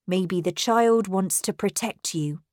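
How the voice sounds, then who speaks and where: A woman's voice: medium pitch, slow, a little mellow, no echo, studio quality, natural voice. A woman voicing a troubled, kindly confidante in a storybook narration, doubting and protective, with an earnest, questioning manner.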